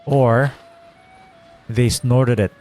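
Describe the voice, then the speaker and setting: Casting: male game streamer, medium-pitched, slangy and flippant, chatting casually over music. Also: steady tone, very slow, a little mellow. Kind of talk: casual playthrough commentary.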